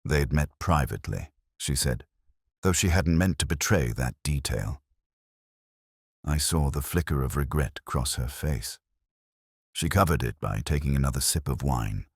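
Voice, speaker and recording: A brooding, weary police inspector played by a man tells his case as an audio drama. His voice is extremely deep, with a lively melodic range. The delivery is solemn and literary, recorded close and dry. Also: normal speed, slightly rough, no room sound, exaggerated voice. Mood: somber, reflective. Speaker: narrator of a detective audio drama. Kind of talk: scripted first-person mystery narration.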